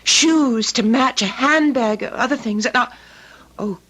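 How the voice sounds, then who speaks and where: An actress playing a devoted, anxious young wife in an old-time radio drama, tender, earnest and theatrical. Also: female voice, on the high side, a little slow, fairly bright tone. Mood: anxious, reluctant. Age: young adult. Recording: vintage radio drama recording.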